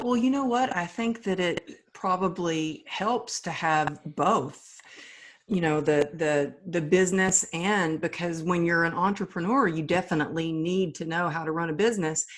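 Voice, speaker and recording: A woman's voice: on the low side, a little slow. A woman hosting a podcast interview, welcoming her guest with enthusiastic, effusive praise. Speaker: podcast host.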